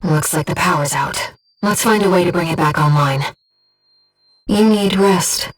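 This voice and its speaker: A woman playing a gentle, disembodied female mission operator in a video game, earnest and composed, with clearly enunciated tactical callouts. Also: lowish voice, a little slow, distant mic. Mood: soft, earnest. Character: gentle disembodied female voice guiding a mercenary pilot.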